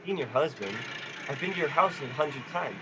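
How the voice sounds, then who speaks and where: A man's voice: a little high, lively intonation, a little fast, lo-fi sound, noisy background. A man acting a desperate, stubborn family man in an amateur radio play. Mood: confused.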